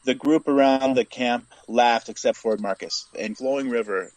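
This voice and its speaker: A man's voice: mid-range voice, moderate pace, dry recording, rough recording. A man reading scripted Western fiction aloud as the narrator of an audio story.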